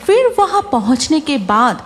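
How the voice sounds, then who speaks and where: Slow, high-voiced woman storyteller. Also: very animated, slight background noise. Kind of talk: scripted horror story narration. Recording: music behind the voice.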